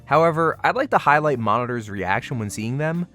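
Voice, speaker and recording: A man's voice: mid-range voice, expressive, brisk, fairly bright tone, faint music behind. A man narrating a convention vlog in a casual, slangy voice-over with wry, playfully exaggerated commentary. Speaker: vlogger narrating a convention walkthrough.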